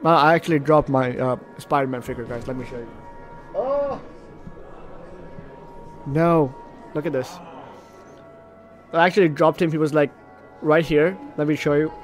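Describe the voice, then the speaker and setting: A man doing let's play commentary, reading game text aloud in an animated, slightly stumbling way. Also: a little high, moderate pace, long pauses. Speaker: video game streamer. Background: game music.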